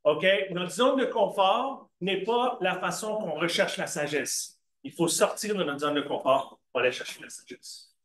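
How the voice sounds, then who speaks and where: Lively man teaching. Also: high-pitched, unhurried. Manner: lively and conversational, questions the class and answers himself; slips into English asides. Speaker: Bible teacher. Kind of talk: church Bible class, talking to students. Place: church classroom. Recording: echoey room.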